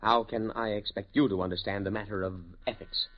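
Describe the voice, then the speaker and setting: A man's voice: on the low side, steady tone, brisk, close to an everyday voice. A man playing an anxious, principled academic in a 1950s radio drama, hesitant and stammering over his words. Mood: indignant. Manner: agitated, hesitant, stammering repeats. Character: anxious, principled male academic trapped by blackmail. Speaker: radio actor. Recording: dull vintage radio recording.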